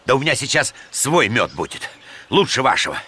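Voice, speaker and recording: A man voicing an excitable, stubborn, scheming polar bear in a children's cartoon, exclaiming and muttering theatrically. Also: medium pitch, a little fast, slight background noise. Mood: stubborn, dismissive. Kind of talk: animated children's cartoon acting.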